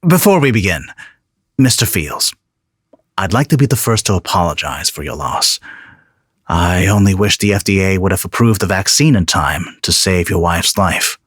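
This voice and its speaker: A man's voice: low voice, expressive, normal speed, a bit bright, husky, dry recording, studio quality, heavily acted. A man playing a slick, defensive pharmaceutical boss in an audio drama, polished and self-righteous. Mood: smoothly pious. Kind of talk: scripted audio drama acting.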